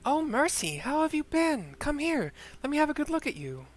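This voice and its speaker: A man's voice: extremely high pitch, sing-song, moderate pace, pitched above the performer's own voice. A man voicing an affectionate, worried motherly woman with earnest, old-fashioned formal phrasing in a fantasy game. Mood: warm, fond.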